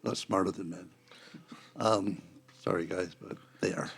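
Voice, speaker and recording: American male, deep and gravelly, conversational group-chat delivery. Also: steady tone, unhurried, a bit bright. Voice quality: husky, gravelly.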